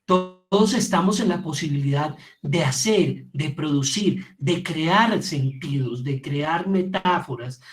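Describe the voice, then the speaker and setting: High-pitched Colombian man giving a lecture. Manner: reflective, didactic, repeats words for emphasis. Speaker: theatre teacher giving a lecture. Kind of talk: informal conference lecture.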